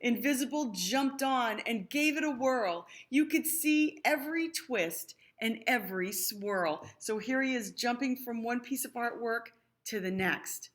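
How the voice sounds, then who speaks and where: Unhurried, higher-voiced woman reading a storybook. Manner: expressive, explaining to young listeners. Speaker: storybook reader. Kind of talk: children's read-aloud with explanatory asides.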